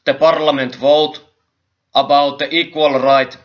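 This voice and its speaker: A man from Finland with a Finnish accent making a halting, deadpan announcement to camera. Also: fairly high pitch. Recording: dull lo-fi video clip.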